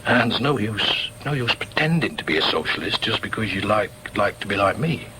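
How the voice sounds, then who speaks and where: An actor playing a proud, argumentative middle-aged socialist shop steward in a radio drama, with a Northern English accent and an emphatic, halting delivery. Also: male voice, lowish voice, expressive, bright, forceful tone, slight background noise, rough recording. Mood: earnest, defiant. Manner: emphatic, halting repetitions, muttered inner asides. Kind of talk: naturalistic radio drama with inner asides.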